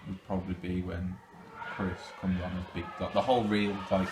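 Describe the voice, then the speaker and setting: A man's voice: low voice, level tone, normal speed, noisy background. A man giving casual answers in an interview. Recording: echoey, loud music and crowd behind.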